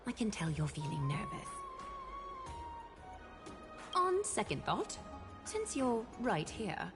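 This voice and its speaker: Regal, coolly menacing demigod lady, loud music behind. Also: medium pitch, huge pitch swings, heavily acted. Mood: cool suspicion. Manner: measured, imperious, teasing. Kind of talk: scripted game voice acting.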